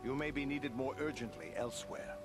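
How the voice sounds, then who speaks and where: A man playing a dependable ranger captain in a fantasy game, speaking in a grave, measured, formal manner. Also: medium pitch, animated, natural voice. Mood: grave counsel. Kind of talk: fantasy video game cutscene acting. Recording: music underneath.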